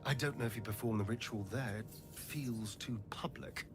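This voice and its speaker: An actor playing a sly, brooding vampire spawn rogue in a fantasy video game, with a smooth, sardonic delivery. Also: male voice, fairly low pitch, normal speed, over music, natural voice. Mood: scheming. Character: wry pale vampire spawn rogue man, sly and haunted.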